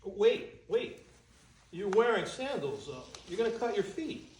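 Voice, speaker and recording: Fussing older groom played by a man: slightly high, animated voice, stage reading in a roomy, slightly noisy space. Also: a little slow. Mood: anxious. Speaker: actor. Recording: echoey room, some noise.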